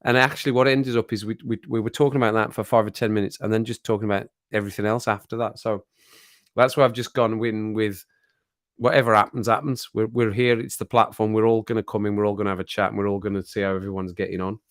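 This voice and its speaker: A man hosting a casual live stream chat, rambling and laughing often, his voice a little croaky after a cold. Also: on the low side, little pitch movement, quick pace, dry recording, clean studio sound.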